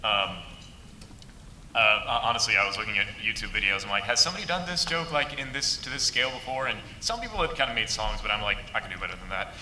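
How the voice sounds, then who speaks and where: A man's voice: on the low side, lively intonation, quick pace, bright, forceful tone, slight background noise. A man on an animation panel, talking casually with joking, modest asides. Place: large convention hall. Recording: echoey hall with long reverb.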